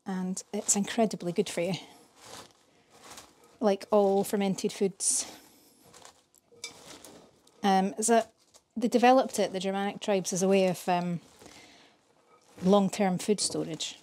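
A woman in her thirties from Scotland, casually explaining a recipe in her home kitchen with a chatty, relaxed manner and some fillers. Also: mid-range voice, slow, pauses often, slight background noise. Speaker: vlogger. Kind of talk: casual narration while cooking.

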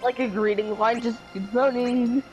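A woman's voice: a little high, fairly even intonation, normal speed, darkish tone, soft background music, poor audio. A young woman in casual gaming chat, tossing in short, playful remarks. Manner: playful, terse interjections. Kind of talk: casual chat over gameplay.

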